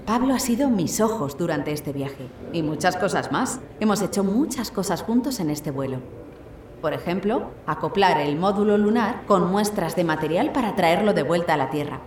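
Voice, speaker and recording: A woman's voice: on the low side, darkish tone, natural voice. A woman playing a poised astronaut captain addressing the press in an audio drama. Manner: composed, explanatory public speaking. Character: poised blind female astronaut, mission captain. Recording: echoey room with faint noise.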